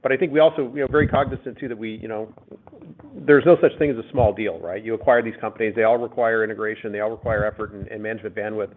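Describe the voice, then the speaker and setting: A man speaking as a company executive, giving unscripted, halting business answers with repeated words on a conference call. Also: lowish voice, fairly even intonation. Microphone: conference call line. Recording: phone-like narrow-band conference call audio.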